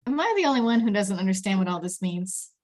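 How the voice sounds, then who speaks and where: A woman's voice: mid-range voice, expressive, fast, darkish tone, natural voice. A woman playing an anxious, touchy, easily confused boy at a screenplay table read.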